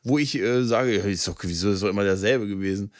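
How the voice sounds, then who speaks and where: A man's voice: fairly low pitch, quick pace. A man chatting as a podcast co-host in a rambling way, often checking for agreement. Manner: rambling, seeks agreement with tag questions. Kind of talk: casual chat about film dubbing.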